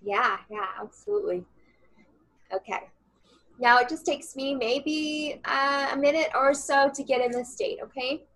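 A woman channeler and coach with a loud voice, guesting on a podcast and coaching listeners encouragingly. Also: sits high, unhurried. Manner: encouraging, repeats key phrases. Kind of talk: podcast interview and guided exercise.

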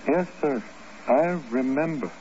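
Casting: male actor for earnest, halting captain under strain, higher male voice, dull vintage radio sound. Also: warm tone, close to an everyday voice. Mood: dazed, entranced. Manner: earnest, halting, repeating himself. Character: scared, troubled military captain, later dazed in hypnotic trance. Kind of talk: 1950s radio drama acting. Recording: dull, noisy old radio recording.

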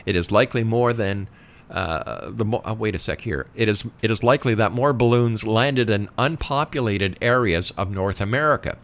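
A man's voice: lowish voice, moderate pace, fairly bright tone. A man hosting a radio interview, explaining things emphatically in a conversational way and at times reading out facts.

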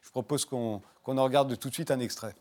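A man hosting a talk show, asking relaxed conversational questions to his guests. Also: medium pitch, fairly quick.

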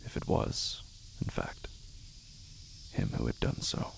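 A man giving a scripted, dramatic narration of a horror story in a deliberate, intense manner. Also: whispered. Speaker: narrator of a horror fiction podcast.

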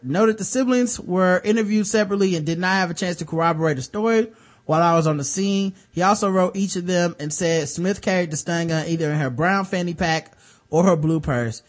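A man with a light voice hosting a casual podcast, reading news stories aloud and breaking off for wry, sarcastic comments. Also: on the high side. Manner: wry, sarcastic asides between read-out passages. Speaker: podcast host.

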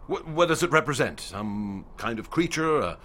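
An actor playing a curious, confident celebrity magician with a breezy, hearty manner in a radio drama. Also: male voice, mid-range voice, expressive, moderate pace, fairly bright tone, a little hiss or hum, natural voice.

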